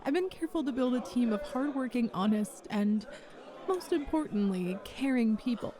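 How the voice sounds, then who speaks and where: A woman performs a kind bar manager in an intimate audio roleplay. Her voice is high and feminine, with a gentle, encouraging delivery. She is nurturing and a little flirty as she soothes a nervous applicant. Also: lively intonation, normal speed, natural voice. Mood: reassuring. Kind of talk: ASMR roleplay, one-sided conversation.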